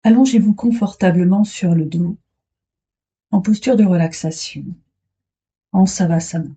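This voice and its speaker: Slow, husky woman guiding deep relaxation. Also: warm tone. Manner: soothing, unhurried, short phrases with long pauses. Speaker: yoga nidra instructor. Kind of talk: guided meditation, relaxation instructions.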